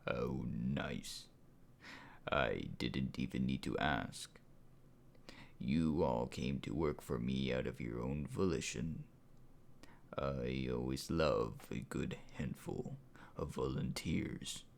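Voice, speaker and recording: Man acting tyrant king, low pitch, calm, slow, soft, breathy, menacing, audiobook villain. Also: heavily acted. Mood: smug calm. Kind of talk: audiobook character voice.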